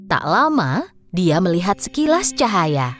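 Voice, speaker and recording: A woman's voice: lowish voice, big pitch swings, unhurried, soft background music. A woman reading scripted narration for a children's story as the narrator.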